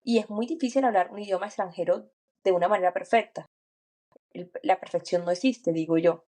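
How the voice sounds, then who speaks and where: A woman's voice: lowish voice, fairly quick, mellow. A woman co-hosting a podcast, speaking in an earnest, encouraging way and thinking aloud with small restarts. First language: Spanish. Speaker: podcast co-host. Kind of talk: reflective podcast chat. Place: lives and works in France.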